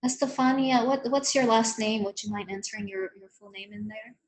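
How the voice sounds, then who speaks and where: A woman's voice: a little high, fairly quick. Friendly female webinar host. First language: Vietnamese. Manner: friendly, encouraging, relaxed. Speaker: therapy trainer, webinar host. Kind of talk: webinar wrap-up chat. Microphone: video call.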